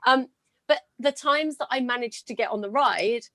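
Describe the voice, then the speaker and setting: A woman with a slightly gravelly voice hosting a podcast. She shares stories in an earnest, encouraging way. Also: on the high side, normal speed, fairly bright tone, no room sound. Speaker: podcast host. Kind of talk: casual interview chat.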